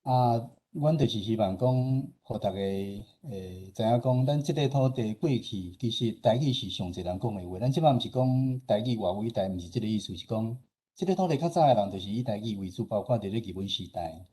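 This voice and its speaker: Husky, mellow Taiwanese man presenting. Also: on the low side, expressive, a little fast. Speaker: radio station head presenting at a forum. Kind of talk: informal presentation with slides.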